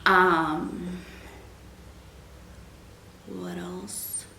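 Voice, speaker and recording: Slow-talking young woman. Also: on the low side, flat delivery, gravelly, pushed and bright. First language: Afrikaans. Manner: chatty, frank, many "like"s and "um"s. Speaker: vlogger answering viewer questions. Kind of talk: casual Q&A chat. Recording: echoey room, faint background hum.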